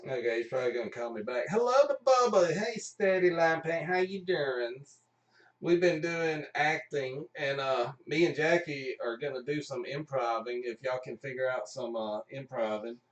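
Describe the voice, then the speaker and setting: Male stream host, mid-pitched, informal jokey chat, echoey room, distant mic. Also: moderate pace. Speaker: livestream host. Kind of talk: casual chat.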